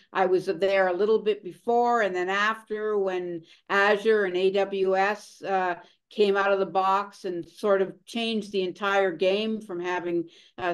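A woman's voice: mid-range voice, smooth. Earnest woman guest explaining steadily. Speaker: guest, consultant. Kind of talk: interview answers.

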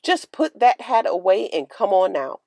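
A woman voicing a firm but loving hatmaker mother in a storybook, speaking in a measured way. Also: medium pitch, very animated, vocal fry, mellow, no room sound, clean studio sound. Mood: firm, subdued. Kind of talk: storybook character voice.

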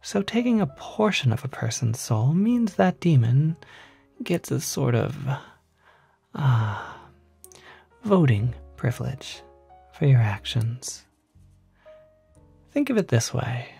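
A man playing a polite, quietly sinister demon concierge in an ASMR roleplay, speaking softly in half-whispers with gentle, hesitant persuasion. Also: mid-range voice, very animated, takes it slowly, long pauses, faint music behind, exaggerated voice. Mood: coaxing. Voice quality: soft, breathy, half-whispered.

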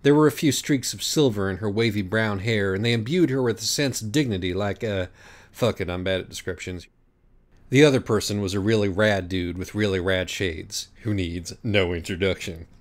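A man reading a comic story aloud as an audiobook narrator, in a deadpan, wry manner. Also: fairly low pitch, normal speed.

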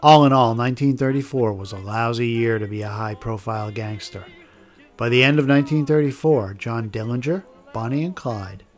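A man's voice: mid-range voice, normal speed. A man reading scripted documentary narration as the narrator. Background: music.

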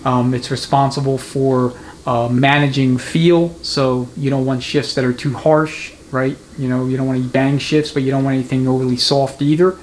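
A man's voice: mid-range voice, little pitch movement, moderate pace. A man giving an unscripted, hands-on tutorial as a mechanic, explaining methodically in a conversational way. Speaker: transmission mechanic giving a demonstration. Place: indoor transmission repair workshop. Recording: clear background noise of a workshop.

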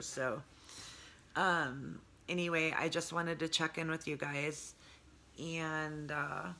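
A woman in her forties with a congested, hoarse voice, rambling through a casual video diary with lots of fillers, recorded on a close phone camera at home. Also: deep voice, bright, forceful tone, slight background noise. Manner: rambling, many fillers, talks with her hands. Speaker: vlogger. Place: home room with low sloped ceiling. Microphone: phone camera close up, earbud headset.

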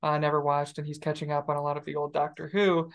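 A man's voice: fairly high pitch, completely flat delivery, fast talker. Hesitant young man hosting a video call. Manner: hesitant, many restarts and fillers. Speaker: interview host. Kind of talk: casual video-call interview. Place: room with bookshelves.

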